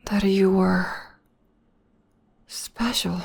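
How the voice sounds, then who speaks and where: Woman as a breathy, half-whispering, gentle witch girlfriend. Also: mid-range voice, fairly even intonation, exaggerated voice. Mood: soothing, affectionate. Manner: tender, slow, soothing. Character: gentle, loving witch girlfriend comforting her demon partner. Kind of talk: ASMR roleplay, intimate personal attention.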